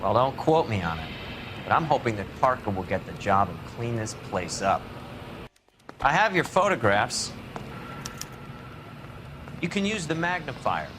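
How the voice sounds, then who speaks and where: An actor playing a helpful, frank police forensics colleague in a video game, talking in a matter-of-fact, conversational way. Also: male voice, medium pitch, very animated, measured pace, pauses often, background noise. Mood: frank, confiding. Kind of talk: cinematic game dialogue.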